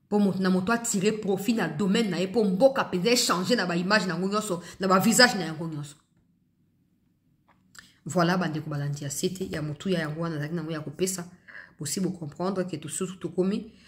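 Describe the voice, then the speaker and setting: A woman's voice: on the low side, fairly quick. A woman with a Congolese accent hosts political news commentary, addressing viewers directly in an emphatic, exhorting way, repeating phrases for stress and switching between two languages mid-sentence. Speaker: host of an online political news commentary show.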